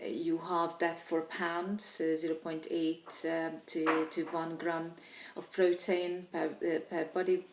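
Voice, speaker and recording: Hungarian-native woman, lowish voice, nutrition coach, halting with lots of ums, roomy echo. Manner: hesitant, many fillers and restarts. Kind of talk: informal advice talk to camera. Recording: echoey room.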